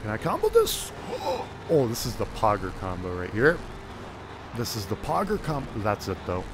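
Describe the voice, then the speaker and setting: A young man giving casual, excitable commentary while playing a video game, talking to himself through a headset mic. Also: on the low side, normal speed, noisy background. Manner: excitable, self-mocking, talks to himself. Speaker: gaming YouTuber. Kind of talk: casual let's-play commentary.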